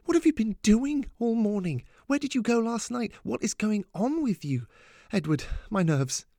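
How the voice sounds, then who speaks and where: A man voicing an anxious, loving mother in a story, talking in a fretful, halting way. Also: medium pitch, darkish tone, dry recording, clean studio sound, close to an everyday voice. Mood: exasperated, worried. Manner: fretful, halting, repeating words. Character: anxious, exasperated, loving mother of a teenage daughter. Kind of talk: audiobook character dialogue.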